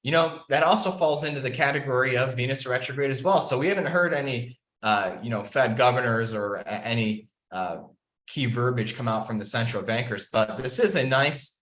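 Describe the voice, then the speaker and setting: A man's voice: mid-range voice, fairly bright tone. A man hosting a market podcast in a conversational interview style, with frequent filler words as he talks. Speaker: podcast host. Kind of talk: casual market commentary interview. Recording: roomy, echoey sound.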